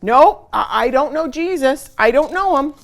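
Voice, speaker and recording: A woman's voice: high voice, animated, measured pace, voice pushed up. A woman voicing a brash, impulsive fisherman from a children's storybook, making emphatic, flustered protests. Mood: panicked denial.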